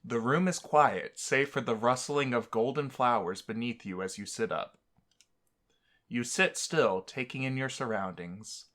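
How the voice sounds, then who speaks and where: A man's voice: mid-range voice, unhurried, a bit bright. A man hosting a let's-play, bantering teasingly and reading a visual novel game aloud.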